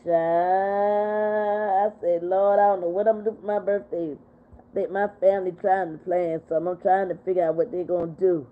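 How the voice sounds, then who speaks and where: A woman in her fifties hosting a casual livestream chat, reading out viewer comments and answering them in an animated way. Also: fairly low pitch, normal speed. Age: 50s. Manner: animated, talks back to viewers. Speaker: livestream host. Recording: phone-like narrow sound.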